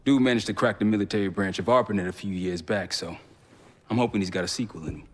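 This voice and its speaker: An actor playing a steady, pragmatic intelligence operative in a video game, an American man talking frankly and colloquially. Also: on the low side, quick pace, natural voice. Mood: measured, serious. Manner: laid-back, frank, colloquial. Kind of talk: video game dialogue acting. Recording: long reverberant room echo.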